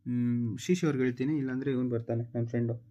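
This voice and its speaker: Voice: mid-range voice, steady tone, racing, warm tone, dull audio, slight background noise. A livestreamer with an Indian accent chatting casually and informally over a game, switching between languages. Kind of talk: casual gaming stream chat. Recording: music playing behind the voice. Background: game music.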